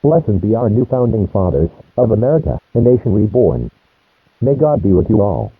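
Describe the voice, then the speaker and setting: Government announcer, played by a man: lowish male voice, formal and grave, scripted emergency message, narrow muffled broadcast sound. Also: big pitch swings, normal speed, breathy edge, dry recording, heavily acted. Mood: solemn, official. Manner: formal, solemn. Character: solemn government announcer reading a presidential emergency note.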